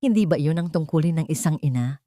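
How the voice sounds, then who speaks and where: A woman's voice: deep voice, animated, quick pace, dark tone, dry recording. A woman narrator plays a scheming adult son in an audio story. He pleads and guilt-trips with impatient pressure. The recording is close and dry. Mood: pressuring.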